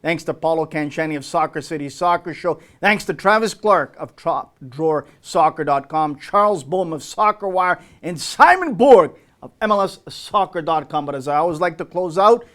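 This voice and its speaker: A man's voice: on the high side, moderate pace, a bit bright. A middle-aged man hosting a soccer talk show in an effusive, emphatic way. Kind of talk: sports radio hosting.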